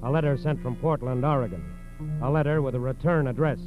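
An American man giving grave, deliberate scripted narration for a 1940s radio crime drama. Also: mid-range voice. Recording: very muffled vintage radio recording. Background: music.

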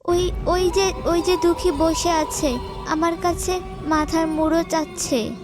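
A woman's voice: extremely high pitch, fairly even intonation, slow. A woman voicing a guilty stepmother who cries out in frightened, pleading bursts. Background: background music.

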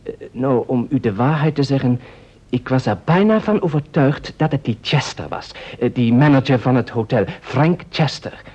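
An actor in an old radio play, playing a flustered, apologetic gentleman who talks in a hesitant, fussy, polite way. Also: mid-range voice, lively intonation, normal speed, close to an everyday voice. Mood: earnest. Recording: muffled vintage radio recording.

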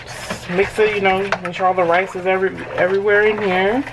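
A woman's voice: medium pitch, flat delivery, fairly quick. A chatty woman cooks at home and walks her viewers through each step, easygoing and reassuring. She is picked up by a camera mic across the kitchen. Cutlery and dishes clink in a noisy background. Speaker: home cooking vlogger. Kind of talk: casual cooking walkthrough.

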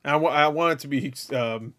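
A man giving casual, profane reaction commentary with joking, deliberately dim asides. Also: fairly high pitch, pushed and bright. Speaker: reaction video host. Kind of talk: casual, off-the-cuff reaction commentary on game clips. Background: video game dialogue and music.

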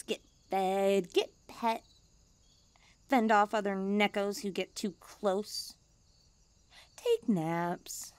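A woman's voice: fairly high pitch, no room sound. A woman voicing a cute, self-important young stray catgirl in a languid ASMR roleplay. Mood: tired, self-important. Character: cute, smug, pampered, drowsy young female stray catgirl.

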